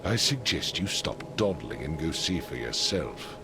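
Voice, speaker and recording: Very deep, gravelly, imperious patrician. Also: male voice, very animated, unhurried, over music, full character voice. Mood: indignant, impatient. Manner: imperious, formal, curt. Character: haughty, impatient middle-aged patrician father of a missing son. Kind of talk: dramatic video game voice acting.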